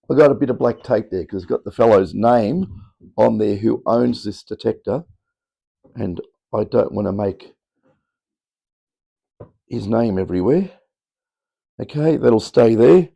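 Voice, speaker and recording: A middle-aged Australian man with a low, mellow voice, croaky and worn from a long day of talking. He rambles offhand and chatty, explaining as he goes, with a gravelly edge throughout. Recorded on a camera mic in a cluttered home workshop. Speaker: electronics hobbyist host. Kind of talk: casual workshop demo. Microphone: camera mic on tripod.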